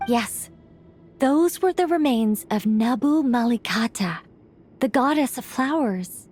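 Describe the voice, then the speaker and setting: A woman playing a calm, knowing, informal guide in a video game, speaking frankly and reassuringly. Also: on the high side, slow pace, breathy edge, faint music behind, exaggerated voice. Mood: serious, explanatory.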